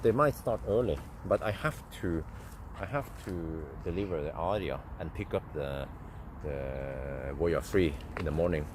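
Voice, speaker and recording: Deep-voiced man chatting on a live stream. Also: a little slow. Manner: rambling, chatty, thinks aloud with fillers and restarts. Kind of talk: casual live-stream chat. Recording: steady vehicle and street noise.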